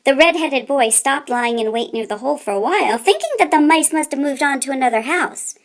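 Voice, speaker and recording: A woman reading a children's story aloud in an expressive, deliberate way, with theatrical emphasis. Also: high voice.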